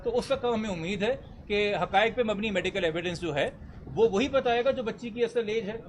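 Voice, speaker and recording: Casting: Pakistani male lawyer, high voice, giving a formal, emphatic press statement and fielding reporters' questions. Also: brisk, a little hiss or hum. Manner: articulate, formal, emphatic, mixing in English legal terms.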